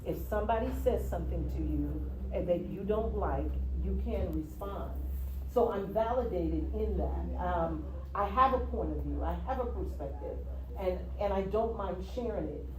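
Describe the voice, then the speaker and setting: A woman from the US Virgin Islands, a guest telling her story in a casual interview, expressive and quick to laugh. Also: mid-range voice. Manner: expressive, laughs easily, repeats "yeah". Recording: roomy, from a distance, faint noise.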